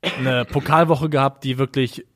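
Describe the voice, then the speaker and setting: A man with a cold-roughened, hoarse voice co-hosts a casual football podcast. His pitch sits in the middle for a man. He rambles along with open enthusiasm. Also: fairly even intonation, normal speed, a crowd in the background. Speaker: podcast co-host.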